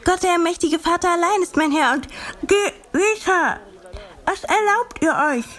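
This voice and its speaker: A woman's voice: very high-pitched, moderate pace, a bit bright. An actress voicing a frightened but defiant captive young girl in an audio drama. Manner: pleading, defiant.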